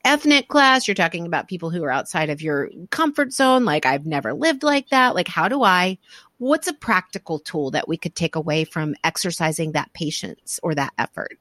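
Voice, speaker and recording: Low-voiced woman podcast host. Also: expressive, moderate pace, a bit bright, dry recording. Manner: affirming, reflective, many fillers and restarts. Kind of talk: conversational interview.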